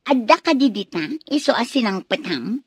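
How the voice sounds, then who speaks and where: Lady acting a nosy jealous gossip for radio drama, really high voice, dry close sound. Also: sing-song, normal speed, slightly rough, dry recording. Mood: prying, suspicious.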